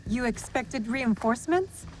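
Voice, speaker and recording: A woman's voice: a little high, lively intonation, measured pace, a little mellow, faint music behind, rough recording. An actress playing a young female shinobi, earnest and resolute, in a video game drama. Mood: guarded. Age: young adult.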